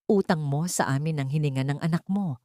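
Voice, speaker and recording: A woman's voice: deep voice, fairly quick, dark tone, dry recording, studio quality, close to an everyday voice. A woman voicing a cruel, haughty older rich matriarch in an audiobook drama, imperious and scornful, with sweetness laced with venom. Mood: cold scolding.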